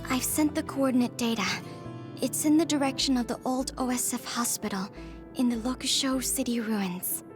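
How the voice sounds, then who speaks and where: A woman voicing a quiet, dreamy young psychic girl in a video game, speaking in short, halting phrases. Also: high-pitched, over music, close to an everyday voice. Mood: serious. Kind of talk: video game cutscene voice acting.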